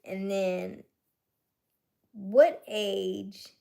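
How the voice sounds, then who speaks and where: A woman in her late thirties hosting a casual livestream chat, teasing and playful, slipping into quiet asides. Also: mid-range voice, fairly even intonation, very slow, vocal fry. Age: late 30s. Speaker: livestream host. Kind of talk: casual live chat with viewers.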